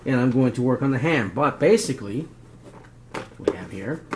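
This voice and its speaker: A man's voice: medium pitch, animated, moderate pace, background noise. A man talking casually and unscripted as he demonstrates gear and gives advice, often checking in with "right?" and "you know". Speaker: video host demonstrating radio gear.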